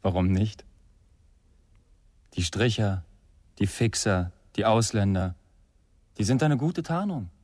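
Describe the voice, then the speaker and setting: An actor playing a condescending far-right extremist in a radio crime drama, controlled and quietly threatening. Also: male voice, fairly low pitch, slow, long pauses, no echo. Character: menacing, self-assured male far-right extremist, condescending.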